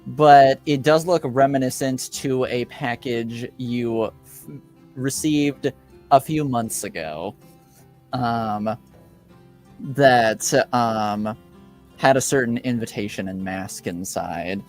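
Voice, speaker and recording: Male game master, mid-pitched, unhurried and halting, improvising casual scene narration over music. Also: a little mellow. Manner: halting, pauses to think, brief affirmations. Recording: music under voice. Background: background music.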